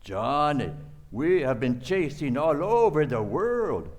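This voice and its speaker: A man acting a nervous, excitable pleading sidekick doctor. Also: a little high, takes it slowly. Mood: exasperated. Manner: agitated, repetitive pleading in broken English. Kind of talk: radio play acting.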